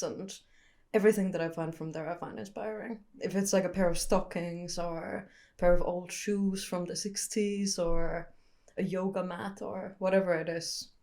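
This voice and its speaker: A young woman from Finland with a low-set voice answers interview questions in a thoughtful, candid way. She pauses now and then to search for the right words. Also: fairly even intonation, a little mellow. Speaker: photographer guest.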